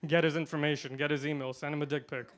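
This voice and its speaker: A man doing a stand-up comedy set, delivering punchlines deadpan and swinging between loud and quiet. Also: a little high, quick pace, background noise. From India.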